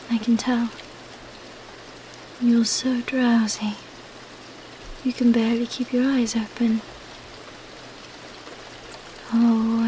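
A woman's voice: on the high side, warm tone, a little hiss or hum, low-quality recording. A woman playing a cunning female vampire who feigns weakness, in an ASMR roleplay. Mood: hypnotic, coaxing.

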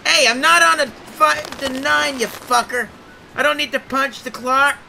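A man's voice: very high-pitched, fairly even intonation, measured pace, vocal fry, bright, forceful tone, slight background noise, heavily acted, low-quality recording. A man voicing an irate, sweary crime boss who whines and protests in an improvised comic game dub. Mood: panicked. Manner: exclamatory, sweary, whiny protesting. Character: irate male crime boss obsessed with his Christmas gathering.

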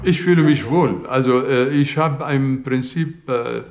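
An older man speaks haltingly in a documentary interview, pausing often with fillers. His voice sits in a middle pitch. The room around him sounds echoey. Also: darkish tone, slight background noise. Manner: hesitant, many pauses and fillers. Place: indoors by a bookshelf.

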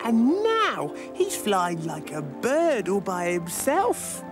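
A childlike rag doll in a children's puppet show. The delivery is dreamy and chanting, very slow but widely swooping, under loud music. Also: female voice, medium pitch, wildly swooping pitch.

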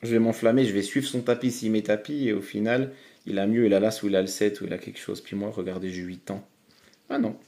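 Chatty excitable man. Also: fairly low pitch, fast. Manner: excitable, chatty, addresses viewers as friends. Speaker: online poker streamer. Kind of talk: casual live commentary while playing.

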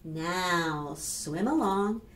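A woman in her fifties reads a picture book aloud to preschoolers, expressive and instructive, addressing the children directly. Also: fairly low pitch, drawn-out words. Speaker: children's storytime librarian. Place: small plain room indoors. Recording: roomy echo, faint background noise.

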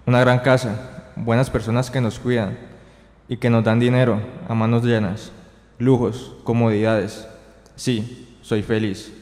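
A man's voice: mid-range voice, level tone, unhurried, darkish tone, lightly acted. Man acting impatient brother, echoing radio drama. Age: young adult. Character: impatient, dismissive young man, proud and materialistic brother. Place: indoor green-screen studio. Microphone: handheld dynamic microphone held close. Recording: reverberant hall-like echo.

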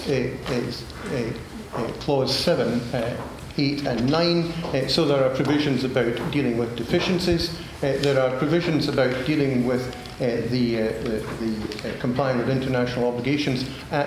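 An older man speaking on a policy panel in a large formal room, halting and measured with many filler sounds. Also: medium pitch. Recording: echoey hall, room noise.

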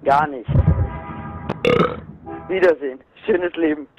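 A man's voice: fairly high pitch, wildly swooping pitch, slow pace, vocal fry, muffled recording, soft background music. A man answering a prank call over a speakerphone, curt and dismissive. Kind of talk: phone conversation.

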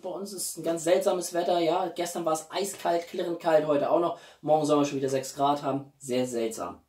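A man in his forties chatting casually as a video blogger, with halting speech and enthusiastic asides. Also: a little high, steady tone, a little mellow. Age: 40s. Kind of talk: casual chatty vlog presenting gifts. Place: small living room, indoors. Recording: roomy echo, distant microphone.

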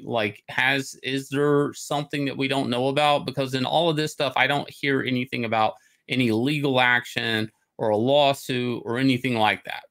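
A man's voice: medium pitch, a bit bright. A middle-aged man hosting a talk show, giving opinionated, casual commentary in a rambling, conversational way. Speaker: host of a comics talk show. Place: indoor room, on a video call.

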